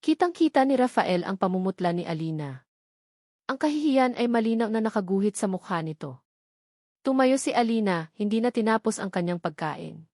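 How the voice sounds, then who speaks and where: A woman's voice: medium pitch, lively intonation, moderate pace, a little mellow, no echo. Female narrator, Filipino accent, dramatic romance storytelling, mid-range voice, dry recording.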